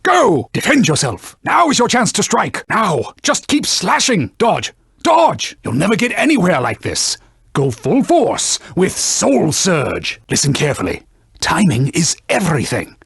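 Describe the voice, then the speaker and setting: Video game casting: pompous talking sword, stern knightly mentor, high male voice, highly animated delivery, scolding combat instructions. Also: a little slow, gravelly, husky, no room sound, studio quality, heavily acted. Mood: urgent, commanding. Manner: pompous, scolding, archaic formal diction. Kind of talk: video game voice acting, combat tutorial barks.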